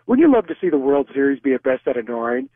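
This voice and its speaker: A man's voice: mid-range voice, big pitch swings, fast, no room sound. A man calling a radio show, chatting casually in an effusive, rambling way over a tinny phone line. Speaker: radio call-in listener. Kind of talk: casual chat.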